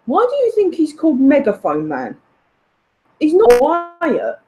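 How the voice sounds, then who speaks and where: High-pitched indignant woman, very animated. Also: a little slow. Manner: indignant, emphatic. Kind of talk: accusatory personal rant addressed to an absent person.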